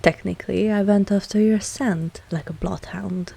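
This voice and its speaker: A woman playing a dominant, possessive vampire mistress in an intimate ASMR audio roleplay, cool and seductive, speaking deliberately and often dropping into a whisper. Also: mid-range voice, steady tone, gravelly, dark tone, breathy edge. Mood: cool, matter-of-fact. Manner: slow, deliberate, commanding, teasing.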